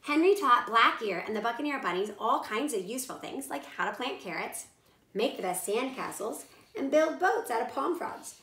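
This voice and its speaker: A woman reading a children's storybook aloud in animated narration. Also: fairly high pitch, normal speed. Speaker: storybook reader. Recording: roomy echo.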